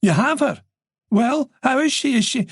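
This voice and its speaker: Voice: sits high, animated, moderate pace, breathy edge, no room sound, clean studio sound, voice pushed up, full character voice. A narrator voicing an anxious male police colleague, terse and questioning with barely restrained disbelief, in an audiobook.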